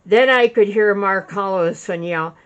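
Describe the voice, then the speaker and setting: A woman reading her own written witness statement aloud, recorded in low fidelity. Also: measured pace, clean tone. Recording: dull lo-fi recording.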